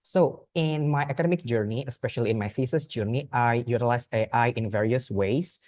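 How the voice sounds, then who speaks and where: Young man, Indonesian accent, earnest student talk, mid pitch, dry close sound. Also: darkish tone, no room sound. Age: young adult. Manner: earnest, plain phrasing with frequent restarts and repeated words. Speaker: student speaker at a youth forum. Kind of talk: informal presentation.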